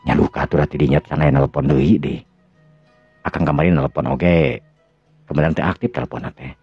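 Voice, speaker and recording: Easygoing Sundanese-accented husband, acted by a man, bottom-register gravelly voice, casual domestic radio-drama talk, dry sound. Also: extremely low pitch, steady tone, brisk, dry recording, full character voice. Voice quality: gravelly, husky. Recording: dull lo-fi recording with music.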